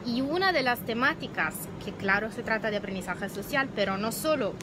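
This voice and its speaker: A woman in her thirties, a native Italian speaker, talks about dog research in Spanish on a live phone stream, pausing often to search for words. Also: a little high, lively intonation. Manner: searching for words, slow and hesitant. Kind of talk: live video chat. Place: outdoor patio. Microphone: phone at arm's length. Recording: noisy outdoor phone stream.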